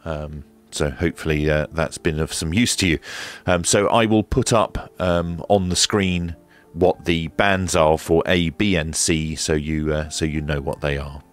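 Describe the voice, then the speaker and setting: A man's voice: rumbling low, fairly even intonation, a little slow, faint music behind. A man presenting a casual hands-on radio equipment demonstration, explaining step by step in a methodical, hesitant way.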